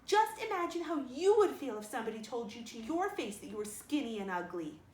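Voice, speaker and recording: A stern, upright middle-aged guardian from a storybook, voiced by a woman reader. She scolds in a measured, firm way with strongly moving intonation. The recording is roomy, with slight noise. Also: a little high, big pitch swings. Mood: stern, scolding. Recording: roomy sound with faint noise.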